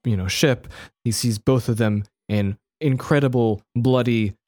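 A man with a mid-range voice co-hosts a relaxed film chat. He rambles through his summary, joking and correcting himself along the way. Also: medium pitch, a little slow. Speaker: podcast co-host. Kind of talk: casual film review chat.

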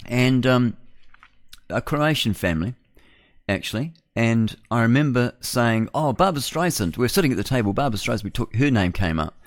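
A man's voice: medium pitch, lively intonation, moderate pace, no echo, studio quality. A man in his sixties with a New Zealand accent, delivering a rambling, conversational opinion monologue as a radio host. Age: 60s. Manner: rambling, digressive, conversational with rhetorical tag questions.

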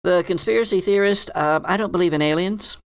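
A man's voice: sits high, a little fast, clear, resonant tone. A man playing a hesitant, bookish librarian in a tabletop game, rambling and hedging in an earnest, mildly dismissive way. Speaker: game master. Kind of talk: improvised in-character tabletop roleplay.

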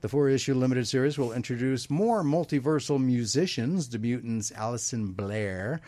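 A man's voice: medium pitch, normal speed, darkish tone, dry recording. Chatty man hosting a live show. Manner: chatty, laughs often, many fillers. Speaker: host. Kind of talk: casual live broadcast chat.

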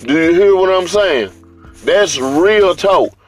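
A man's voice: high voice, animated, slow pace, soft background music. A man with a Southern US accent hosting a podcast in a casual, unscripted monologue, blunt, slangy and swearing heavily. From US South, Atlanta area. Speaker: podcast host.

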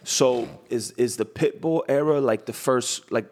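A man hosting a podcast, interviewing in a casual, slangy way with halting, repeated phrases. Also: medium pitch, a little mellow. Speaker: podcast host.

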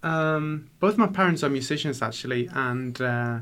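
Young Swedish male musician, relaxed interviewee, filler-heavy and giggly. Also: mid-range voice, moderate pace, clean tone. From Sweden. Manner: soft-spoken, laughs often, many fillers. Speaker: musician guest. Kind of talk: casual interview chat.

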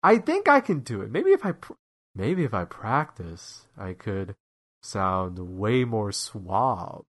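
A man's voice: on the low side, big pitch swings, takes it slowly, fairly bright tone. A man with a nasal voice hosting a podcast alone, chatting casually with self-mocking humor and many restarts. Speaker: podcast host and editor. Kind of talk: casual solo podcast chat.

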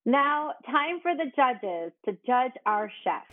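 A woman's voice: high voice, big pitch swings, takes it slowly, phone-quality sound, exaggerated voice. Woman playing a flustered game show host. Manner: flustered, stammering, eager to please. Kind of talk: comedy sketch acting.

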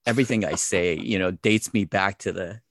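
A man in his forties, a wrestling translator, talking casually as an interview guest, relaxed and self-deprecating with plenty of fillers. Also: sits low, moderate pace, vocal fry, no echo, clean studio sound. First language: English.

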